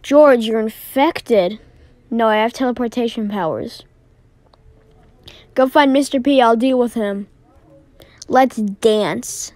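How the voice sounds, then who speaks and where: Amateur animation voice: woman, fairly high, unhurried, drawn-out dramatic delivery. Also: darkish tone, dry recording. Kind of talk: amateur voice acting for animated story.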